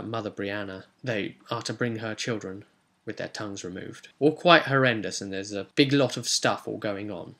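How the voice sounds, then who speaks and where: A man's voice: on the low side, gravelly, bright, forceful tone. A man giving a casual, rambling recap of a tabletop role-playing session in an informal way, as the game master.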